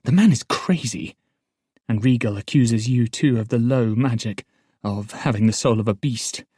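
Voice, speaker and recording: A man voicing an excitable, headstrong elderly noblewoman in an audiobook, talking in a rapid, indignant rush. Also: mid-range voice, husky, no room sound, studio quality, close to an everyday voice. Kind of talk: audiobook character voice.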